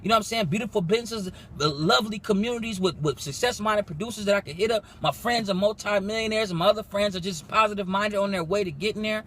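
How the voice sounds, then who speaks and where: Fast-talking, high-pitched young man. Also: slight background noise. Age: 20s. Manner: animated, profane, rapid slangy talk. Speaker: music producer and coach. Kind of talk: casual motivational pitch. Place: in a car.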